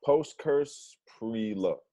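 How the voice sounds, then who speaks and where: A man's voice: medium pitch, animated, drawn-out words, warm tone. An actor playing a dramatic, outspoken young male housemate in a comedy table read. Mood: playful. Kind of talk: comedy script read aloud. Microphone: video call.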